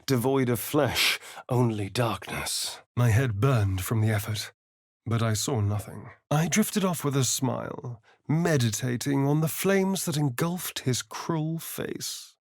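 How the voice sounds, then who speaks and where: An actor playing a sly, vain vampire rogue in a video game, with an arch, sardonic, flamboyant delivery. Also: male voice, medium pitch, animated, slow pace, dry recording, studio quality. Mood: dramatic, hushed. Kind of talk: video game voice acting, dramatic lines and narration.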